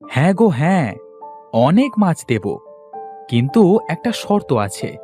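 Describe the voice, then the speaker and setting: Guy doing a talking frog from a kids cartoon, eager little dealmaker, very animated pitch, music behind. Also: unhurried. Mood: bargaining. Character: talking male frog, cursed human, bargaining and eager. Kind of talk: cartoon fairy tale dialogue. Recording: music under the voice.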